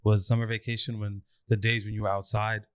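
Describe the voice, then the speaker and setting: Animated young man telling stories. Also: fairly quick, clear, resonant tone, no echo, studio quality. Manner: animated storyteller, swings into high mock voices. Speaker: podcast co-host. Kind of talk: casual chat.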